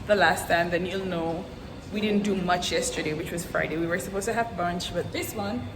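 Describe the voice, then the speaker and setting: A woman's voice: on the low side, normal speed, background noise. A young woman chatting casually to camera as a vlogger, playful and teasing. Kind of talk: casual vlog chat.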